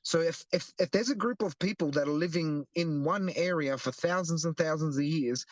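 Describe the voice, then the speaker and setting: A young Australian man talks in an earnest, explanatory way, circling back and restarting his sentences. His voice sits a little high for a man. The recording is close and dry. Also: moderate pace, dry recording. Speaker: guest, activist. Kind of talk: casual interview.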